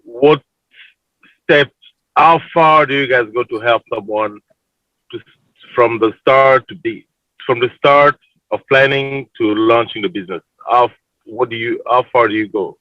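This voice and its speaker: A man's voice: medium pitch, unhurried, pauses often, no room sound, muffled recording. A man moderating a forum. He puts long questions to the panel and halts and restarts his sentences as he goes.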